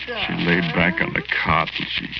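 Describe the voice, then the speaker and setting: An American actor playing the plaintive, vengeful ghost of a petty crook, narrating in plain, slangy 1940s radio style. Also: male voice, low-pitched, completely flat delivery, normal speed, gravelly, noisy background. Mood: mournful. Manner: plain, slangy, ungrammatical tough-guy talk. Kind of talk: 1940s radio acting, first-person narration. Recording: dull lo-fi 1940s radio recording. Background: dramatic music.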